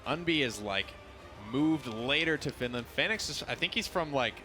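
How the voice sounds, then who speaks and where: A man's voice: mid-range voice, expressive, moderate pace, pushed and bright, soft background music. A man co-casting a live esports match in an expo hall, with casual, wry asides. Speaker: esports caster.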